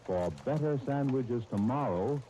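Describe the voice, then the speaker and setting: A man working as a 1930s radio commercial announcer, reading a scripted sales pitch on an old recording. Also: animated, a little slow, warm tone. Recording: faintly noisy 1930s radio disc.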